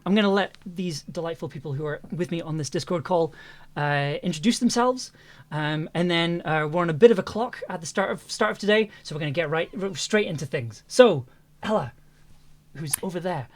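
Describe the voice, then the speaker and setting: A woman hosting a casual livestream over an online call, often hesitating and repeating herself. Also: fairly low pitch. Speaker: host.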